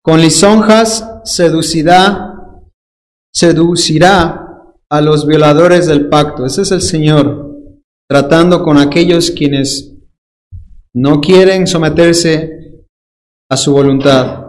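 A man's voice: a little high, slow pace, pauses often, low-quality recording. A man preaching a sermon with a Dominican accent, emphatic and playful, drawing out key words and mimicking people's excuses. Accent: Dominican Spanish.

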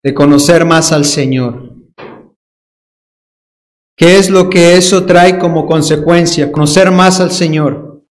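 Man, Dominican accent, preacher, high-pitched, slow deliberate delivery, stretched emphatic words, playful asides. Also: steady tone. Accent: Dominican Spanish. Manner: emphatic, drawn-out stresses on key words; playful, mimics excuses mid-sermon. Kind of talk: sermon.

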